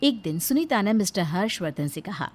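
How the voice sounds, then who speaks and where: A woman's voice: medium pitch, sing-song, brisk. A woman narrating a scripted moral story as a storyteller.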